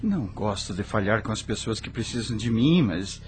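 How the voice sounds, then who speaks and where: A man's voice: on the low side, expressive, normal speed, a little hiss or hum, natural voice. A man playing a kind, tired but dutiful lawyer in a radio soap opera. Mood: reluctant. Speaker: actor.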